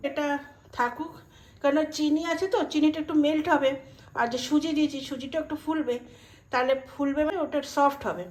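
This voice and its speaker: High-pitched Indian Bengali woman chatting at home. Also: fairly even intonation, brisk. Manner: informal and homely, mixing in English and Hindi words. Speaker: home cooking vlogger. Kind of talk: casual chatty cooking vlog. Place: indoors at home.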